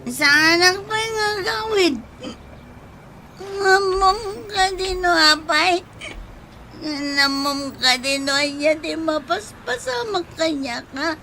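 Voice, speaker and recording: A woman's voice: very high voice, slow pace, bright, forceful tone, a little hiss or hum, exaggerated voice. A woman playing a grieving, drunken, bitter young woman in an audio drama, with an Ilocano Filipino accent and a tearful, wailing drawl. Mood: drunk, defiant. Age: young adult. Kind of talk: melodramatic audio drama acting.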